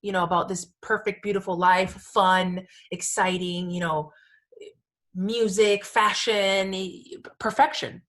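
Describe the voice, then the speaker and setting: A woman's voice: medium pitch, fairly even intonation, slow, fairly bright tone. Gushing, chatty woman podcast host. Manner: gushing, emphatic, informal with frequent "like" and "you know". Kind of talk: casual chatty discussion.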